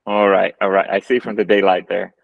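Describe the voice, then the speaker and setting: A man's voice: low voice, level tone, brisk, creaky, no room sound, narrow, tinny audio. A man in his thirties with a Brazilian Portuguese accent, a photographer talking as a guest on a video call from his living room, thoughtful and full of fillers and restarts. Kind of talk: casual video call interview. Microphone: earbuds on a video call.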